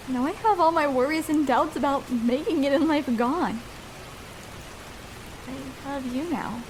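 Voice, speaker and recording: A woman's voice: high voice, a little hiss or hum. A young woman playing a shy, vulnerable escort in an intimate ASMR roleplay, speaking hesitantly and tenderly with small stammers.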